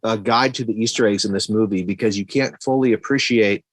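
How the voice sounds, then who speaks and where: A man's voice: fairly low pitch, fairly quick, no echo. A man in a casual film discussion on a podcast, talking like an enthusiastic fan and thinking aloud as he goes. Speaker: podcast guest or co-host, movie fan.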